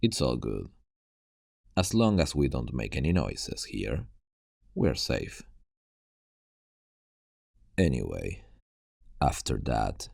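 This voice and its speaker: A man acts out a haunted, worn-out male survivor in a zombie apocalypse audio roleplay. His voice sits extremely low and crackles heavily with fry. He speaks slowly, in a hushed and grave ASMR storytelling style, with halting pauses between phrases. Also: dry recording, studio quality, heavily acted. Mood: guarded, grim.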